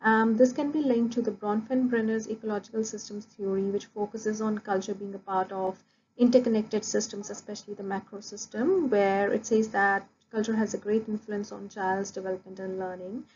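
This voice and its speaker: Woman student, warm low-key tone, steady listing delivery, dull lo-fi recording. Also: normal speed. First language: Punjabi. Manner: steady, listing points with frequent "uh" fillers. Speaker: student presenting an assessment. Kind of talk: prepared academic presentation. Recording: dull lo-fi audio with faint noise.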